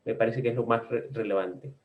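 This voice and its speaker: A man from Venezuela speaking as a researcher on a video-call panel, measured and academic, pausing often on "eh". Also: medium pitch, steady tone, phone-quality sound. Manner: measured, with frequent "eh" pauses. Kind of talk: academic discussion.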